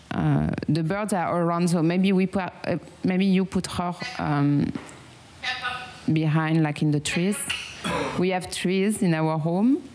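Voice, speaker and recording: Low-voiced French woman, slow and halting. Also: darkish tone, a little hiss or hum, poor audio. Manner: halting, searching for English words, frequent fillers. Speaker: meditation channel host. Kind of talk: casual guided meditation chat.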